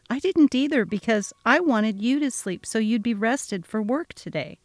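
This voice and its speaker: A woman's voice: a little high, darkish tone, dry recording. A young woman voicing an exhausted new mother, speaking earnestly and pleading. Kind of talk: dramatic reading of a text-message story.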